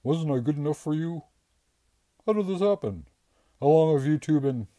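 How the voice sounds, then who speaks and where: A man voicing a dim-witted, hulking circus strong man who talks in a simple, blustering way and often shouts. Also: deep voice, a little slow, no echo, studio quality. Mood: wailing.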